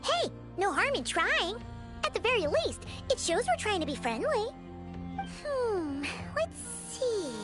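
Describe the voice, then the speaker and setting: Squeaky, tiny excitable fairy companion. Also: female voice, a little slow, music in the background, full character voice. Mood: chatty, scheming. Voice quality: squeaky, childlike. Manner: exclamatory, chirpy, expressive. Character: Paimon, tiny excitable childlike floating fairy companion, female. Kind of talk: animated video game voice acting.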